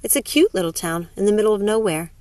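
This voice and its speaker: Young nurse in a novel, read by a woman narrator: practical, reassuring, mid-pitched, dry recording. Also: animated, quick pace, darkish tone, dry recording, natural voice.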